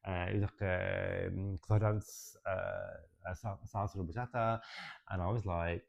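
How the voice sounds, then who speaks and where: A young man talks through a tarot and astrology forecast in a chatty, expressive way, often checking in with "right?". His voice sits low with a gravelly fry. The recording sounds a bit dull and lo-fi. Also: moderate pace. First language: English. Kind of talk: casual chatty astrology reading.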